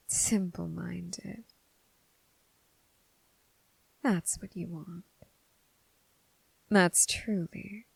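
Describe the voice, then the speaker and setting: Woman acting as female demon, languid and condescending, low-pitched, creaky, half-whispered ASMR roleplay, bored and mocking. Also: a little mellow, no room sound, exaggerated voice, low-quality recording. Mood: amused disdain. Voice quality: breathy, husky, with creaky fry. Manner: languid, condescending, drawn-out phrasing with sighs.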